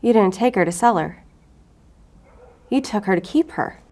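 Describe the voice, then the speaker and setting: An actress plays a hard-edged female federal agent in an audio drama. Her voice is mid-pitched, and she talks bluntly, pressing her point with little patience for anyone. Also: expressive, normal speed, a little mellow, close to an everyday voice. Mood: probing, cool. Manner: blunt, probing, short-tempered. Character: hard-edged, foul-mouthed, obsessive female FBI special agent. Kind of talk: audio fiction acting.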